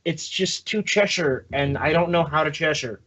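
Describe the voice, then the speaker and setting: A man's voice: a little high, moderate pace, sharp tone, low-quality recording. A man co-hosting a podcast, chatting casually and tossing in dry, quippy remarks. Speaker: podcast co-host. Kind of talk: casual group chat.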